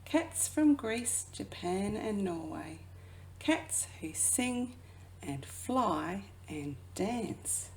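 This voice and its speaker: A woman who works as a children's educator reads a picture book aloud expressively, drawing out phrases and dropping into hushed asides. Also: medium pitch, expressive, drawn-out words, slight background noise, poor audio. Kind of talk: children's storybook read-aloud.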